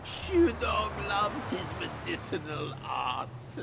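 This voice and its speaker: An actor playing a crafty, self-pitying old eccentric in a video game, with theatrical, drawn-out delivery. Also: mid-range voice, big pitch swings, vocal fry, heavy background noise, full character voice.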